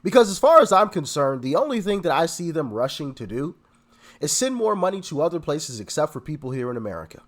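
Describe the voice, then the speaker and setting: Man, congested, stuffy nose, chatty commentary host, lively pitch. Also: brisk. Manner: chatty, addresses viewers directly. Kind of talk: casual online commentary.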